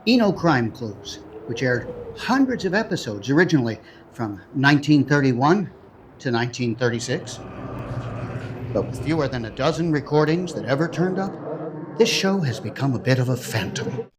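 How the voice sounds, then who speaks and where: A man's voice: medium pitch, animated, normal speed, background noise. A man hosting an audio drama, reading a scripted introduction in a conversational way with playful asides. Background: music.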